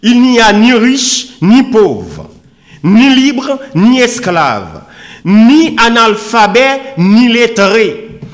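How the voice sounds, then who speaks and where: Guy preaching a sermon, high voice that swings up and down a lot, emphatic with big pauses. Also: wildly swooping pitch. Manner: oratorical, emphatic, with deliberate pauses and repetition. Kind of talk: sermon with scripture readings and rhetorical questions.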